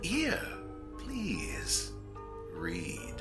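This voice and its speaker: A sinister storyteller, acted by a man, opens a grim tale with theatrical deliberation. The voice is low and crawls along with big swings in pitch. Horror-anthology style. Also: wildly swooping pitch, extremely slow, loud music behind the voice, exaggerated voice. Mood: ominous relish. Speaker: narrator. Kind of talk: scripted horror-anthology narration.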